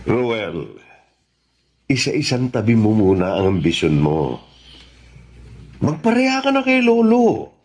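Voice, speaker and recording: A persuasive Filipino relative in a radio drama. He pleads slowly, his pitch swinging widely. Faint noise sits behind the voice. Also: very animated, darkish tone. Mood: coaxing. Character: persuasive male relative urging a political truce.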